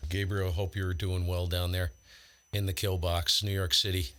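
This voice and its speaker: A man's voice: low-pitched, level tone, moderate pace, fairly bright tone, clear, resonant tone. A man speaking bluntly and informally, chatting casually and calling as a ham radio livestream host.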